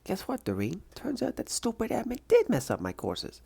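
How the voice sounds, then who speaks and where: A woman voicing a grumpy, deadpan female college student in a comic dub, sounding disgruntled. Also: a little high, moderate pace, vocal fry, husky, exaggerated voice.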